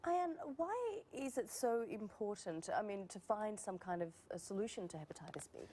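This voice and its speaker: A woman's voice: mid-range voice, animated, moderate pace, dark tone. A woman working as a news presenter, introducing reports and interviewing guests.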